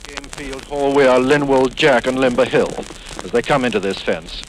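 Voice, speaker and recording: A man's voice: mid-range voice, steady tone, normal speed. A British man giving formal, precise live horse race commentary in a 1950s broadcast style. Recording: noisy 1950s 78 rpm record.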